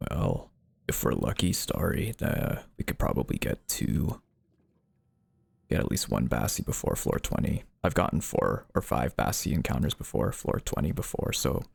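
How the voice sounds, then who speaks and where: A man live streaming casual chat, reading out viewer messages and answering them, with his voice lowered by a deep filter. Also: creaky, breathy edge. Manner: reads chat messages aloud and replies.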